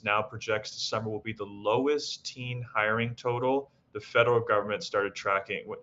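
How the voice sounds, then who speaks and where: Bright, forceful low-voiced male news host. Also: muffled recording. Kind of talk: live news presenting.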